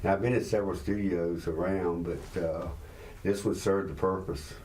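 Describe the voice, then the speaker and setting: A man, talking reflectively and haltingly in an interview. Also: low voice, fairly even intonation, darkish tone, slight background noise. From South Carolina, United States. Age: late 60s. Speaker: musician being interviewed.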